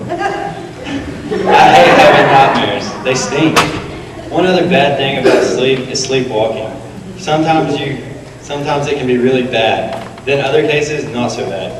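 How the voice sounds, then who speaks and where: A man's voice: mid-range voice, a little slow. A young man, a student, performing a readers theatre monologue in simple short statements. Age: teens to young adult. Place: school auditorium stage. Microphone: stand mic, heard from distant camera. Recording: echoey hall, distant mic, noisy.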